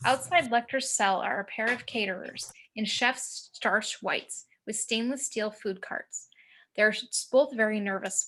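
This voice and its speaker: A woman's voice: mid-range voice, a bit bright. A woman reading screenplay action descriptions as narrator of a table read over a video call. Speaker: narrator reading stage directions.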